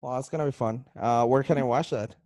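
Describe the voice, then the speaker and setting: A man's voice: medium pitch, quick pace, darkish tone, dry recording, clean studio sound. Casual man with a Colombian accent. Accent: Colombian Spanish. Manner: loose and chatty, with frequent restarts and laughs. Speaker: podcast host. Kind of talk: casual podcast chat.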